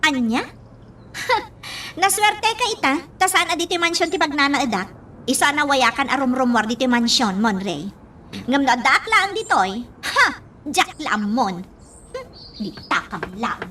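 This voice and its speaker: Woman acting high, animated, coy young woman. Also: measured pace, far from the mic, a little hiss or hum, heavily acted. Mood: snooty. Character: coy, snooty young woman being courted. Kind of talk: radio drama acting.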